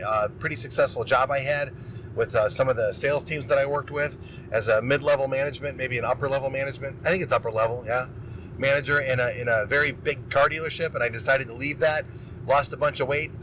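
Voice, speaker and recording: A man's voice: medium pitch, a little hiss or hum, poor audio. A man hosting his own motivational podcast, talking casually and energetically with rambling asides while he is losing his voice. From Illinois. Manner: energetic, rambling, self-interrupting. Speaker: podcast host.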